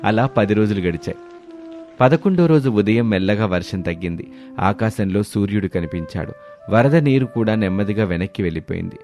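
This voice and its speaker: A man with a fairly low voice narrates a scripted story. He is the storyteller of a moral tale. Light music plays underneath. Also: brisk, a little mellow.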